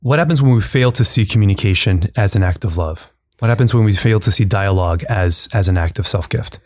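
Fast-talking man from Nicaragua, low voice. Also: no echo. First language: Spanish and English. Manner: fast, warm affirming interjections. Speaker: podcast host. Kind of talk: conversational interview. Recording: dry close mic.

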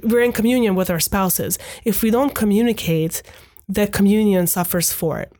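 A woman in her thirties, a podcast guest whose first language is Hungarian. She talks in a thoughtful, measured way at a mid pitch and often adds a quick "right?" to check in. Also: darkish tone. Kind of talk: reflective conversational talk.

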